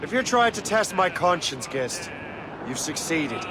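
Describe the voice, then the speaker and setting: An actor playing a principled, resolute young sea captain in a video game. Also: male voice, fairly high pitch, big pitch swings, unhurried. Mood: troubled, firm. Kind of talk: dramatic video game acting. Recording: loud ship, wind and waves noise.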